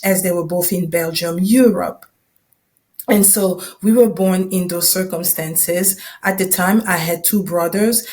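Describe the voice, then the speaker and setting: A woman, an English speaker, telling a personal story to listeners as a podcast host in a conversational way, often checking in as she goes. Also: lowish voice. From Democratic Republic of the Congo. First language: not English. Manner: conversational, checking in with "right?" and "you know". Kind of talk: personal testimony told to listeners.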